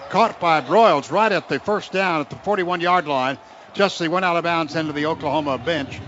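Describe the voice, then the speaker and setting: A muffled, noisy radio broadcast of an American man doing football play-by-play. His voice sits fairly high, and he reports the action in short clipped phrases that climb as plays develop. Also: a little fast. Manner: clipped running description, rising into excited calls on big plays. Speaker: radio play-by-play announcer for the Oklahoma team. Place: outdoor football stadium broadcast.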